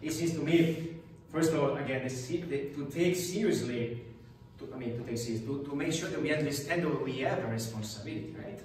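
Halting middle-aged priest in an echoey room. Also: male voice, on the high side, darkish tone, far from the mic, slight background noise. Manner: halting, many restarts and tag questions. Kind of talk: parish talk on prayer. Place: parish classroom. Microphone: far camera.

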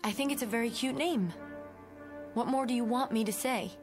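A woman's voice: fairly high pitch, moderate pace, close to an everyday voice. A woman playing a hesitant, proper, defensive schoolteacher in a radio drama. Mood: rattled. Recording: music under the voice.

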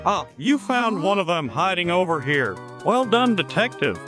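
A man's voice: high-pitched, big pitch swings, moderate pace, voice pushed down. Guy playing tough folksy game cop, stern, voice goes up and down, music under. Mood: approving. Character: tough no-nonsense male police officer. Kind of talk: cartoon game character voice.

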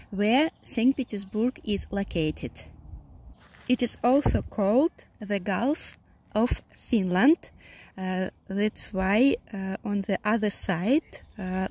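A woman in her thirties with a Russian accent, talking in simple, halting English as a vlogger and amateur radio operator. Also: medium pitch, a little mellow. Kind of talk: vlog talk and radio contacts. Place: outdoors on an open sea beach. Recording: faint outdoor ambient noise.

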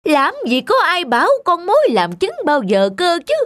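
An actor playing a greedy, scheming middle-aged rich villager in a cartoon fairy tale, pompous, scornful and blustering. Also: male voice, extremely high pitch, huge pitch swings, moderate pace, bright, forceful tone, exaggerated voice.

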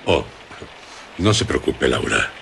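An actor playing an ominous, insistent storyteller in a radio drama, speaking theatrically with suspenseful pauses. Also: male voice, extremely low pitch, sing-song, vocal fry, a bit bright, slight background noise, heavily acted. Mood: insistent, coaxing. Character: ominous, insistent male storyteller coaxing out dark secrets.

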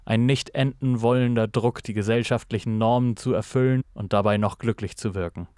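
Guy reading a noir detective story, medium pitch, measured n dramatic, dry close mic. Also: fairly even intonation, a little mellow, clear, resonant tone, dry recording. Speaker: narrator. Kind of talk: scripted noir audio drama narration.

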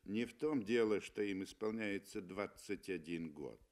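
A man reading literary narration for a 1960s radio play, with expressive, emphatic phrasing. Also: lowish voice, a little slow. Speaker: audio drama narrator. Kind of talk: dramatic literary narration, 1960 Soviet radio. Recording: 1960 Soviet radio recording.

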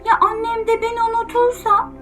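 A woman's voice: extremely high pitch, monotone, fairly quick, sharp tone, faint music behind, pitched above the performer's own voice. A woman voicing a small frightened boy in a children's storybook reading, pleading and anxious. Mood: worried.

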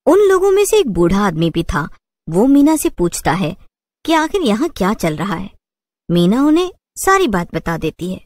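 A woman's voice: medium pitch, big pitch swings, moderate pace, darkish tone, dry recording. A woman storyteller reading scripted narration for a children's cartoon tale.

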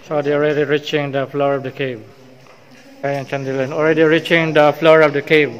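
A man's voice: a little high, level tone, a little fast, muffled recording. A man with a Filipino accent guiding a cave tour, narrating and coaxing climbers along in mixed English and Tagalog. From Philippines. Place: inside a large cave.

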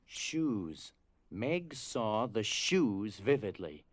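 A man's voice: lowish voice, very animated, extremely slow, dry recording. A man narrating a scripted dramatic story. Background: music.

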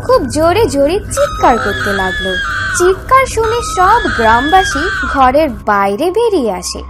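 A woman's voice: sits high, sing-song, normal speed, raised voice, over loud music. A woman narrating a scripted fairy tale in a dramatic storytelling style.

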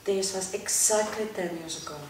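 A middle-aged woman from Poland with a Polish accent, answering interview questions in halting English with repeated words. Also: medium pitch. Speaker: interview guest, car detailer. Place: large workshop garage, indoors. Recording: echoey garage from far off, noisy.

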